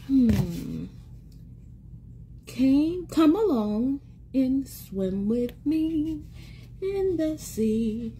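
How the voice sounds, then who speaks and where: A woman in her thirties reading a picture book aloud to young children in an expressive, sing-song, encouraging way, repeating words for them. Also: high-pitched, very slow, pauses often, a little hiss or hum. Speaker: children's read-aloud host and teacher. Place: small indoor room at home. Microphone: phone or tablet at arm's length.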